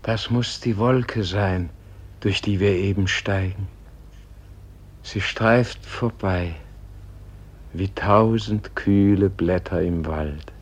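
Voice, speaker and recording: Gentle old man in a radio play, deep-ish voice, really slow, solemn, lyrical, trails off hushed. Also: breathy edge, full character voice. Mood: awed. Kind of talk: German radio play acting, solemn and poetic.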